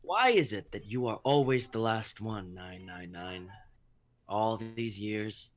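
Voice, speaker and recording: Man playing a slow, taunting villain executioner. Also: on the low side, lively intonation, slight background noise. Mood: menacing, patient. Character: cold menacing male executioner villain, taunting and patient. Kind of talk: amateur dramatic script reading on livestream.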